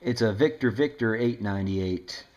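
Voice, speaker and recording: A man with a low-pitched voice talks in a casual, conversational way as an amateur radio operator. He is indoors at home, chatting with another operator about gear. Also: normal speed, dull audio, noisy background. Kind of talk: casual two-way radio chat.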